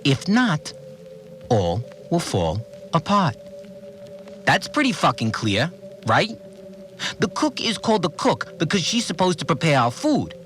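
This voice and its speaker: An actor playing an earnest, aggrieved cleaner who keeps an audio diary in a naive, rambling, complaining way. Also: male voice, a little high, a bit bright. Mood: weary, put-upon. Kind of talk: audio drama monologue, conversational recorded log.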